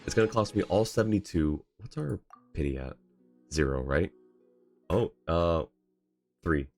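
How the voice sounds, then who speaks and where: A very deep-voiced man chats loosely as a game streamer. His delivery is halting and conversational, with soft music behind him. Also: lively intonation. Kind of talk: casual livestream chat.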